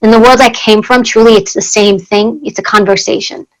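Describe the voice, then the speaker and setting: A woman's voice: medium pitch, steady tone, brisk, low-quality recording. A woman who grew up in New York speaking Greek at home, talking earnestly as an interview guest and often repeating words while she thinks. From United States. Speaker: guest, former Secret Service agent. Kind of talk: conversational interview.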